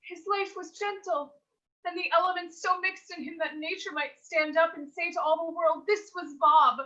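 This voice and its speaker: Woman doing a scared brave babysitter in a shakespeare horror play, really high sharp voice, dramatic and anguished, lofi sound. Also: fairly even intonation, moderate pace, muffled recording. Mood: grieving. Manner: declamatory, anguished, archaic verse. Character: terrified, grieving brave young babysitter, Shakespearean horror heroine. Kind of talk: theatrical Shakespearean staged-reading acting.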